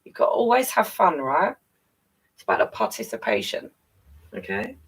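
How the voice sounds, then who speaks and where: Female children's storyteller, playful and chatty, animated intonation with some creak, recorded at a slight distance. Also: on the low side, moderate pace. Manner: playful, addresses listeners with questions and tag questions. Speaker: storyteller reading a picture book to young children. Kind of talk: read-aloud story time with chatty asides.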